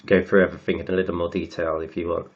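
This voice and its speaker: A man with a cold, croaky and sniffly, working slowly through textbook maths and often repeating words as he writes. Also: low-pitched, level tone, muffled recording. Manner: halting, repeats words while writing. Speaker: maths tutor.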